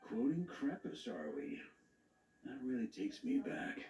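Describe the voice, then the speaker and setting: An actor playing a stern knight grandmaster in a video game, measured and authoritative with a dry wit. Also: male voice, medium pitch, sing-song, a little mellow, reverberant, distant mic, slight background noise. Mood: wry. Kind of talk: dramatic video game voice acting.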